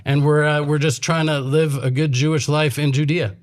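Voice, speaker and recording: A man's voice: on the high side, flat delivery, normal speed. A man born in Texas and living in Israel, co-hosting a casual panel talk in an earnest, heartfelt manner and quoting Hebrew scripture. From born in Texas, USA, living in Israel. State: came straight from reserve duty. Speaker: co-host.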